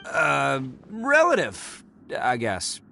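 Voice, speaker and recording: A man's voice: medium pitch, huge pitch swings, extremely slow, creaky, sharp tone, slight background noise, exaggerated voice. An actor playing a boastful, good-hearted young male oni hero in a video game, with a cocky manner. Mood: earnest, confident. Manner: cocky, swaggering. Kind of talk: animated video game voice acting.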